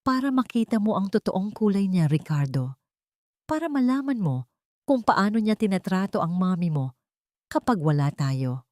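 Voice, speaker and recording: A woman's voice: medium pitch, sing-song, normal speed, dry recording, clean studio sound, natural voice. A woman voicing a scheming rich young fiancée in an audio drama, manipulative, sweet on the surface and threatening underneath, mixing Tagalog with English. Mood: coaxing. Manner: hushed but firm threats, coaxing sweet talk, Tagalog mixed with English. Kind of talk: dramatic audio story dialogue.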